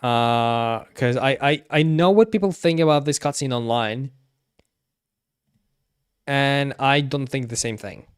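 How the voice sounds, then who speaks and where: A man's voice: medium pitch, unhurried, pauses often, clear, resonant tone, dry recording, studio quality. A man giving casual gaming commentary as a streamer, often restarting and repeating his words hesitantly. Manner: hesitant, repetitive, stammering restarts.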